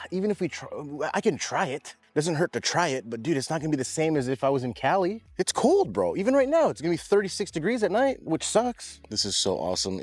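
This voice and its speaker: A man's voice: on the high side, a little mellow, dry recording. Young guy yard vlogger, casual, slangy, easygoing. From Pacific Northwest. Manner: laid-back, chatty, slangy, says bro and dude a lot. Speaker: lawn care vlogger. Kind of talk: casual vlog talk to camera. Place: outdoors in a yard.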